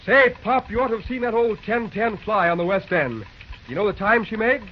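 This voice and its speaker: A man acting as an eager young American railroad boss in a radio drama. The voice is very high and boyish, with clear noise on a dull vintage recording. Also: a little fast, natural voice. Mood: friendly. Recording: muffled, noisy old radio recording.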